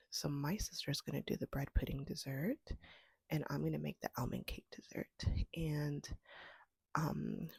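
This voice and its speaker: A woman with a cold, hoarse and sniffling, chatting casually in a soft, half-whispered way as she vlogs from her home. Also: deep voice, dry recording. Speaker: homestead vlogger. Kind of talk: casual vlog chat. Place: at home, indoors.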